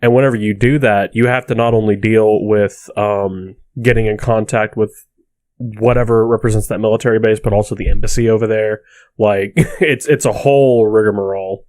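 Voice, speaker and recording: A man's voice: fairly low pitch, fairly even intonation, normal speed, a little mellow, no room sound. A young man chatting casually and wryly as a podcast co-host. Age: young adult.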